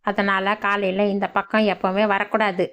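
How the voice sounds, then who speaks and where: A woman's voice: medium pitch, level tone, normal speed, a bit bright, lightly acted. A woman voicing a lazy, cheeky young village man in a cartoon, with a grumbling, sarcastic manner. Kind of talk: cartoon voice acting.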